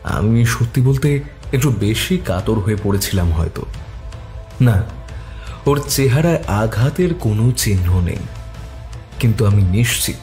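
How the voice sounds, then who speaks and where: A man narrating a detective story in the first person with expressive delivery. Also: mid-range voice.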